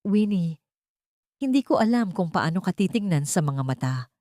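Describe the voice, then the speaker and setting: A woman's voice: on the low side, lively intonation, normal speed, dark tone, no room sound, studio quality, close to an everyday voice. A Filipino woman voicing a humbled, wealthy older man, solemn, earnest and pleading, in an audio story. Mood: tearful, resolute.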